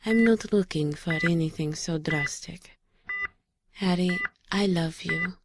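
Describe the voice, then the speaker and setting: An actress playing a solemn, resolute woman of a mystic sisterhood in an audio drama, speaking gently in formal, deliberate phrases. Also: fairly low pitch. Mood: tender, resolute. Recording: clearly noisy background.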